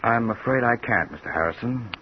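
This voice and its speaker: An actor playing a stiff, unyielding, regretful lender in 1950s radio drama style, on a muffled vintage recording. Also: male voice, medium pitch, expressive, a little fast, a little hiss or hum, close to an everyday voice. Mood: coolly regretful.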